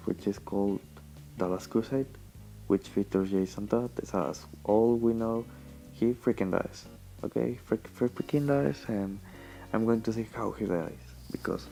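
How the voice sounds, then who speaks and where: Young adult male, gravelly and dark-toned, meandering casual audio-log delivery, faint guitar underneath. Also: on the low side, rough recording. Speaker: vlogger. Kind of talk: casual rambling audio diary. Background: quiet plucked guitar music.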